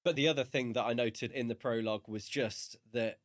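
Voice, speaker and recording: A man co-hosting a podcast, analysing a book in casual talk with measured, halting phrasing. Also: mid-range voice, fairly even intonation, no room sound, studio quality. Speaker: podcast co-host.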